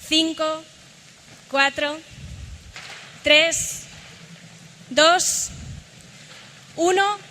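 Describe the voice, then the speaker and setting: Woman, workshop leader, bright tense tone, fairly high voice, reverberant hall, faint ambience. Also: extremely slow, long pauses, slight background noise. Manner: instructive, guiding a group. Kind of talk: leading a sound workshop. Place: large hall.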